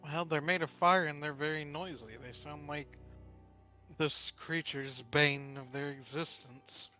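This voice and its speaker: A man playing a tabletop game, casually and haltingly reasoning aloud. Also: a little high, fairly even intonation, sharp tone. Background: background music.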